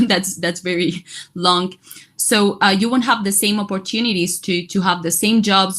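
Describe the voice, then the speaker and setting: Halting woman presenting in a webinar. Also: lowish voice, fairly bright tone. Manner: halting, many restarts and fillers. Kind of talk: webinar talk in English.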